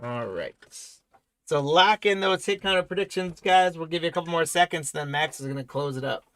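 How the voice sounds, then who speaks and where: Hoarse man calling a card break. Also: fairly high pitch, brisk, fairly bright tone, dry recording. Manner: rattles off player names, congratulates viewers by name. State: voice weakening, losing it. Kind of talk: live card break commentary.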